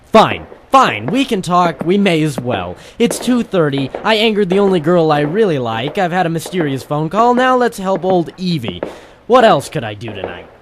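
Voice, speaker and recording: An actor playing a hard-boiled, wry private eye in a noir radio play, with clipped storytelling. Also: male voice, fairly high pitch, big pitch swings, normal speed, background noise. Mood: dry, guarded. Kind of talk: noir radio-play detective narration and dialogue. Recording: music under the voice.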